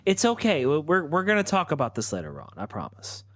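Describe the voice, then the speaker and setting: Male player as nervous, placating dad in improvised RPG dialogue, stammering, hugely varied pitch, dry close sound. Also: wildly swooping pitch, a little fast, fairly bright tone, no echo. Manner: stammering, flustered. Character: nervous, evasive family man, placating his ex.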